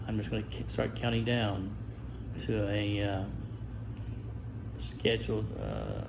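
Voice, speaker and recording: A middle-aged man with a low, gravelly voice speaks a guarded monologue to camera. He talks haltingly, restarting words and pausing often. The recording is noisy, with constant background hiss. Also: very animated. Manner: guarded and halting, with stammered restarts and long pauses. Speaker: vlogger.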